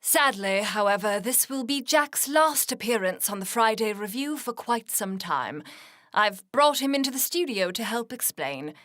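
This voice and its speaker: A woman's voice: fairly high pitch, expressive, fairly bright tone, dry recording, clean studio sound. A woman playing a composed, formal 1960s radio news anchor in a scripted drama. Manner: measured, formal newsreading.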